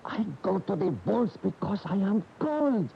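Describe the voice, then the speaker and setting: A man acting in a radio drama as a weary, brooding, intense bullfighter, halting and earnest, repeating his words. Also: high voice, expressive, gravelly, slight background noise. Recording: muffled radio broadcast tape.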